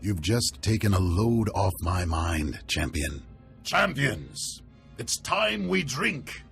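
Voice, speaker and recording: An actor playing a proud, hearty older warrior chieftain in a video game, speaking in a stately, commanding way. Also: male voice, lowish voice, sing-song, slow pace, vocal fry, a bit bright, faint music behind, exaggerated voice. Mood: earnest. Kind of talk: video game cutscene acting.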